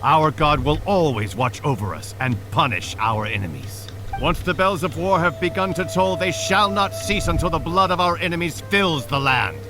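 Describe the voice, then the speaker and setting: A man's voice: on the high side, animated, moderate pace, few pauses, lightly acted. An actor playing a wrathful, fanatical king in a video game's stage play, speaking in a solemn, imperious, declamatory way. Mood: stern, zealous. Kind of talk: theatrical stage-play acting in a video game. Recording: music behind the voice.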